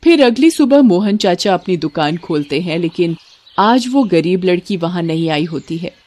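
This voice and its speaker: Mellow woman narrating a story. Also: on the low side, expressive, moderate pace. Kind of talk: scripted children's story narration.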